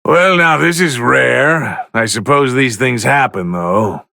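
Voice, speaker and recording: An actor playing a haughty, bored, easily irritated god of destruction who gives pompous, theatrical commentary in a fighting video game, with a gravelly voice. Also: male voice, medium pitch, slow pace, sharp tone, no echo, clean studio sound, exaggerated voice. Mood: wry. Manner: pompous, theatrical, drawling disdain.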